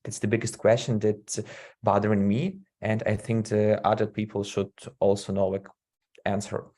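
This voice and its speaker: A man's voice: fairly low pitch, level tone, moderate pace, warm tone. A young man from Ukraine hosting the interview, asking questions in an informal way with slightly non-native phrasing.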